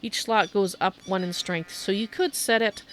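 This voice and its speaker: A woman's voice: mid-range voice, fairly even intonation, normal speed, a bit bright, a little hiss or hum, rough recording. A woman giving a casual, chatty game tour as a streamer, thinking aloud as she shows things off. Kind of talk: casual gameplay commentary and show-and-tell.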